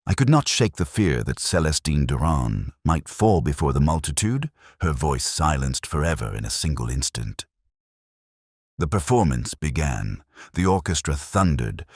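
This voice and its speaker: A man narrating a period mystery audiobook in a solemn, theatrical way with deliberate phrasing. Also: rumbling low, no room sound, studio quality.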